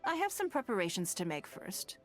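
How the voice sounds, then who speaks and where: An actress playing a poised, courteous woman leader in a video game, speaking formally with measured, eloquent phrasing and dry wit. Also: mid-range voice, very animated, lightly acted. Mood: gracious, relaxed. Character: poised, commanding, courteous wealthy woman leader, dryly teasing. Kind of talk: scripted video game dialogue. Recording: music under the voice.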